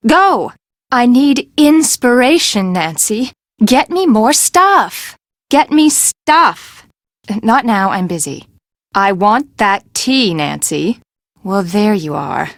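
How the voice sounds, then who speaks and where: A woman's voice: mid-range voice, no echo, studio quality. A woman playing an imperious, demanding artist in a video game, curt and bossy toward her assistant. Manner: curt, bossy, self-important. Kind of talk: video game character acting.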